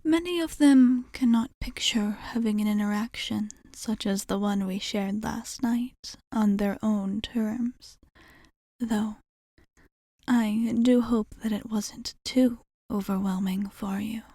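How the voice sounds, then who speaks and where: A woman acts out an ancient female vampire bartender in an intimate ASMR roleplay. Her voice is soft, mellow and breathy, often slipping into a whisper, and it sits fairly high. She speaks very slowly and formally, composed and attentive, in a close, dry recording. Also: no echo. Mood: calm, reflective. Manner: measured, formal wording with thoughtful pauses.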